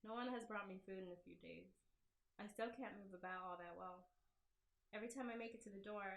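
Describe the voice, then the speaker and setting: A woman's voice: medium pitch, vocal fry, reverberant, natural voice, rough recording. A woman voicing a shaken, sarcastic young woman's diary from a visual novel, in an incredulous, slangy, confiding way. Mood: lonely, scared. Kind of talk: dramatic first-person reading of visual novel diary.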